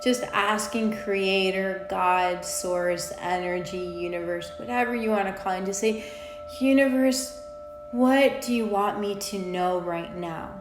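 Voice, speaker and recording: A woman leading an unscripted guided meditation in an informal, conversational way, with halting pauses. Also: mid-range voice, over music. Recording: roomy echo on the voice.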